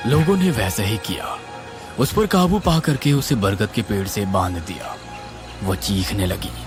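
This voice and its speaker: A man narrating a scripted horror story in a suspenseful, dramatic, expressive way. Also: on the low side, unhurried. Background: music.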